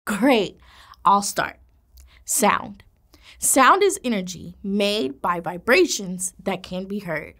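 Lively, encouraging woman teacher. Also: mid-range voice, slow. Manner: animated, encouraging, gestures while speaking. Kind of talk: kids' educational video presenting. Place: indoor classroom studio set.

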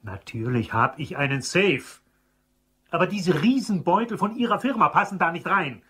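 Impatient, curt manager, highish husky voice, radio crime play. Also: male voice, expressive, moderate pace, sharp tone, heavily acted. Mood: irritated. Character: fussy, impatient male store manager, curt boss. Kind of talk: German crime radio drama acting.

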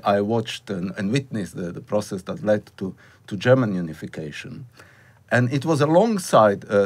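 A middle-aged interviewer from eastern Slovakia with a slightly husky, low voice. He builds his question with halting restarts and repeated words. He is recorded on a close desk mic in a small curtained studio. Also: darkish tone. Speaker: interviewer, associate professor. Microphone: desk mic on stand.

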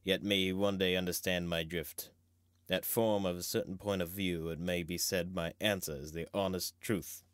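A man playing a wise, grave old knight and mentor in a measured, theatrical Shakespearean reading. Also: low voice, fairly even intonation, fairly bright tone, smooth, dry recording, studio quality.

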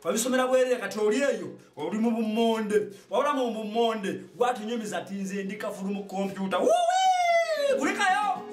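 High-pitched Ugandan man in an echoey room. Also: a little fast, few pauses. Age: young adult. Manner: animated, exclaiming, repetitive. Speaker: host of an online TV show. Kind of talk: informal comic monologue to camera. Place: small indoor studio with padded backdrop. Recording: roomy echo, distant microphone, music behind.

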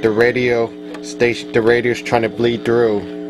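A man's voice: mid-range voice, flat delivery, unhurried, music in the background, low-quality recording. A man giving a casual, matter-of-fact demonstration as a hobbyist, talking plainly from behind a camera indoors. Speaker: vintage radio hobbyist demonstrating a repair. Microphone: camera microphone.

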